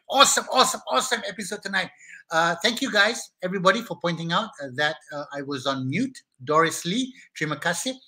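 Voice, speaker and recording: A man's voice: high-pitched, poor audio. A middle-aged Malaysian man with a Malaysian accent, hosting a live online talk show and chatting energetically with viewers in a small studio. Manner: energetic and hyper, greeting viewers by name. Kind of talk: casual live-stream chat with viewers. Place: small indoor studio set with brick wall.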